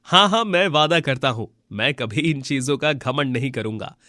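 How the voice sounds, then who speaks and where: A man playing a kind, honest, devout villager in an animated moral tale, speaking in an earnest, pleading way. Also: mid-range voice, moderate pace, no room sound, clean studio sound. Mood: grateful.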